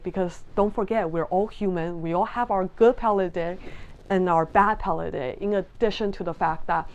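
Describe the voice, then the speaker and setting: A woman's voice: medium pitch, darkish tone, highs cut off. Taiwanese-born woman explaining sommelier exams. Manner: friendly, earnest, with frequent ums and restarts. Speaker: sommelier vlogger. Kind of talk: casual explanatory talk to camera.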